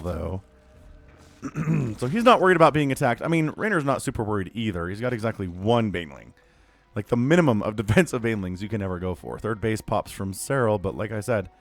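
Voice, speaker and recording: A man giving solo play-by-play commentary on a strategy video game, casual and excitable, his voice still a little rough from recovering. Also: mid-range voice. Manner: casual, excitable, rises to yelling at big fights. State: voice still recovering, cracking at times. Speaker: StarCraft caster.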